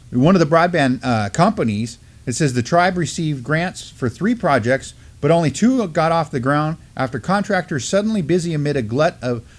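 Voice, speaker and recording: A middle-aged man co-hosting a studio news program, reading articles aloud with many fillers and restarts. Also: on the high side. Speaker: co-host. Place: studio with mottled backdrop.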